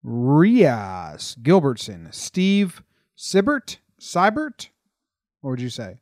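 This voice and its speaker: A man's voice: mid-range voice, huge pitch swings, drawn-out words, a little mellow, dry recording, studio quality. An American man talking casually and bluntly as the host of a sports podcast, with emphatic delivery.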